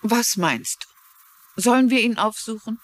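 A woman playing a kindly, concerned middle-aged woman in a dubbed TV drama, gentle and articulate. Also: on the high side, expressive, unhurried. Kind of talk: German-dubbed TV drama acting.

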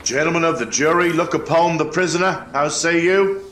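Man as a high-pitched, ceremonial colonial court clerk. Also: moderate pace, a bit bright, slight background noise, natural voice. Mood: formal. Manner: formal, ritual, declamatory. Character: formal eighteenth-century court clerk taking jury verdicts. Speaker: actor in a historical trial reenactment. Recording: echoey room.

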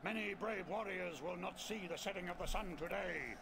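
An actor playing a rousing army general who declaims a battle speech in a strategy game. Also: male voice, high-pitched, moderate pace, gravelly. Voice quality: declamatory, shouting. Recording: game audio with background noise.

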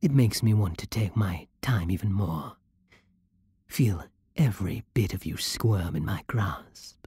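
A man acts out a seductive British vampire king in an ASMR roleplay. He speaks slowly and imperiously in a very breathy, husky voice with a lively, swooping melody. The delivery is formal, possessive and teasing, close to the microphone. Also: low-pitched, no room sound, full character voice. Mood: soothing, seductive, then hushed, teasing. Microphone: close, dry microphone.

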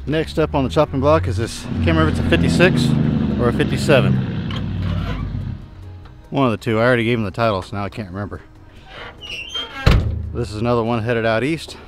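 A man in his thirties giving a relaxed, plain-spoken walkthrough of his junkyard, often trailing off quietly at the end of a remark. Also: medium pitch, fairly quick, pauses often, over music. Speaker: junkyard owner and channel host. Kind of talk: casual vlog walkthrough. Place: outdoor junkyard and inside a pickup truck.

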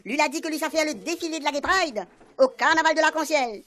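Squeaky, gossipy Creole police sergeant. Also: male voice, fast talker, sharp tone. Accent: Réunion Creole. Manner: nosy, teasing, Creole-laced talk. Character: excitable, gossipy, dim-witted male police sergeant. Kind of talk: comic radio soap acting.

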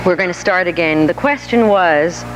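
A woman's voice: on the low side, moderate pace, very noisy. A middle-aged woman interviewing a guest on an auditorium stage, asking questions in a poised, wry, direct way. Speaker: interviewer and television host. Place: auditorium stage before an audience.

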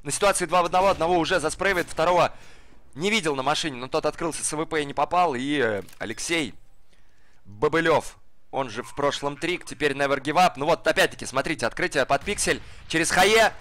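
Hoarse casual game commentator man. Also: on the high side, quick pace, a bit bright. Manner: casual, slangy, picks up during action. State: hoarse from the cold. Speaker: esports commentator. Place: cold flat at home.

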